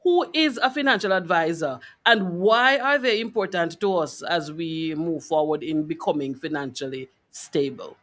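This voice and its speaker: Animated woman hosting a faith interview. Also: low voice, normal speed, few pauses. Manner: encouraging, affirming, interjects. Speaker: radio show host.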